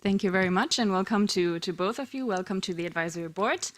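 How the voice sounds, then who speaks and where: A woman co-chairing an international board meeting, speaking in a measured, formal way from prepared remarks. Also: mid-range voice, a little hiss or hum.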